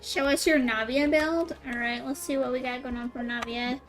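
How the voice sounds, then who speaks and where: A woman's voice: high voice, steady tone, moderate pace, a bit bright, soft background music. A woman giving casual, chatty gameplay commentary as a streamer, playfully reacting aloud and talking to herself. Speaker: video game streamer.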